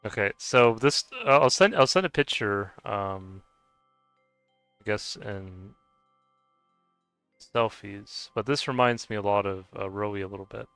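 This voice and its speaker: A man's voice: on the low side, measured pace, pauses often, fairly bright tone, smooth, dry recording. A man streaming a game, chatting casually with viewers and stumbling over how to say names. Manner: hesitant, stumbling over name pronunciations, chuckling. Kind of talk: casual live-stream chat. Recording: dry close mic with faint music behind.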